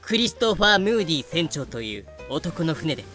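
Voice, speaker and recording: Guy acting composed pirate boss, old-timey elder talk, highish sharp voice, radio play. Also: big pitch swings, fairly quick, faint music behind. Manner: archaic elder-style speech. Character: old-fashioned pirate captain, composed and sly. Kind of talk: fan audio drama acting.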